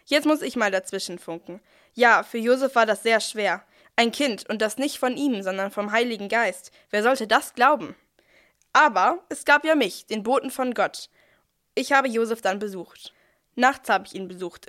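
A chatty, cheeky archangel , played by a woman, speaks in a fairly high voice. The figure presents a nativity radio play like a confident heavenly messenger with something to sell. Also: normal speed, a bit bright, natural voice. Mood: explanatory, confident.

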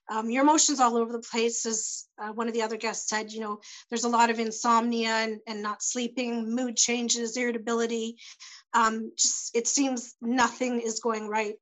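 A woman speaking as a panel guest, giving halting personal testimony with many pauses and restarts as she searches for words, her voice tending to go hoarse. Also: fairly high pitch, a bit bright, poor audio. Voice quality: voice tends to go hoarse.

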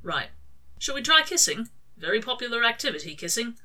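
Male demon played by a woman reader, casual, teasing but soft, clipped questioning delivery, bright tone, audiobook fiction. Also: on the high side, very animated, fairly quick, pitched above the performer's own voice, full character voice. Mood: casual, eager.

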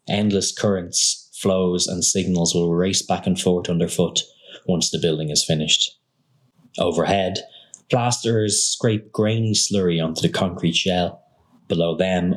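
A man reading a literary text aloud as scripted narration, in measured, deliberate phrases with pauses. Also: low-pitched.

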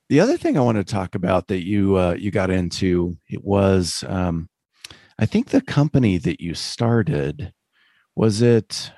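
A man's voice: deep voice, vocal fry, warm tone, dry recording, clean studio sound. A man interviewing a guest in a casual chat, mostly giving brief encouraging interjections.

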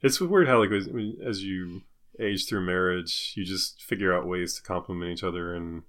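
A man's voice: low-pitched, moderate pace, fairly bright tone. A middle-aged man chatting casually as a podcast co-host, opinionated and given to incredulous rhetorical questions. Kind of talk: casual chat.

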